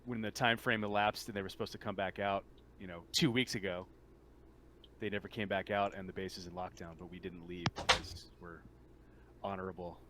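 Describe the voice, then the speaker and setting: A man acting as game master in a tabletop roleplaying game, improvising in a casual, halting way. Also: low-pitched, steady tone, background noise.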